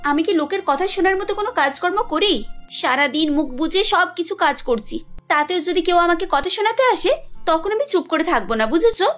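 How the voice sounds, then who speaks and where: A woman's voice: extremely high pitch, animated, brisk, a bit bright, highs cut off, soft background music. A woman voicing a young Bengali housewife in a family cartoon, with an emphatic, reproachful way of talking. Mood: angry, sarcastic. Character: young Bengali housewife Kajal, dutiful but quick to sulk and scold.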